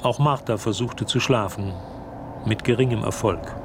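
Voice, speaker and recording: A man's voice: fairly low pitch, normal speed. A man reading scripted narration as the storyteller of a radio drama. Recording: faint background noise.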